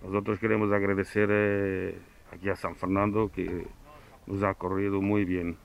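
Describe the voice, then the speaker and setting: Older man from Portugal, circus presenter; very low voice, earnest, repeats himself, dull recording. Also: flat delivery, normal speed, vocal fry, a little hiss or hum. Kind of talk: casual interview answers.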